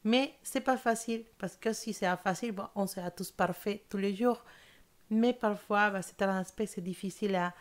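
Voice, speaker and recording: A woman's voice: medium pitch, moderate pace. A young woman whose first language is not French, giving a monthly tarot reading in an earnest, hesitant way with many fillers and restarts. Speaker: tarot reader.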